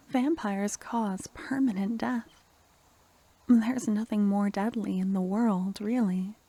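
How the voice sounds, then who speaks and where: A woman playing a sweet, caring court necromancer in a softly spoken, half-whispered ASMR fantasy roleplay, talking intimately and affectionately to the listener. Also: mid-range voice, no echo. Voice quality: soft, breathy, half-whispered. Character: sweet, caring young female court necromancer, doting and anxious.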